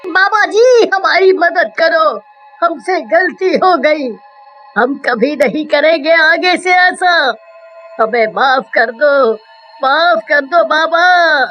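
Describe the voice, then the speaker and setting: A woman's voice: sits high, slow pace, lo-fi sound. A woman voicing anxious, theatrical village eatery men in a cartoon story, with music behind. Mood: pleading. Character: frightened, pleading male roadside eatery owner and his helper.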